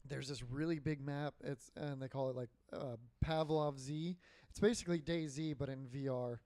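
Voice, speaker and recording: A man co-hosting a casual gaming podcast, rambling with lots of fillers and swearing, his voice getting hoarse and dry. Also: medium pitch, steady tone, moderate pace, warm tone, no room sound. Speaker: podcast co-host.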